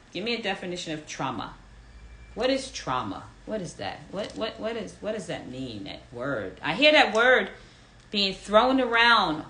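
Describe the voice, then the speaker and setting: A woman's voice: medium pitch, bright, forceful tone. A woman in her fifties hosting a live audio room, reading out listener comments and repeating her questions to the crowd with emphasis. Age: 50s. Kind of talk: live audience chat.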